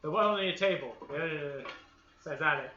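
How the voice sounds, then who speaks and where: A man's voice: a little high, moderate pace, sharp tone, a little hiss or hum. A young Welsh man with an upbeat, playful, encouraging manner, hosting a live baking session for children from a home kitchen. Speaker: host of a kids' baking livestream. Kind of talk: leading a live baking session with a game of I Spy. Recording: echoey room, dull lo-fi stream audio.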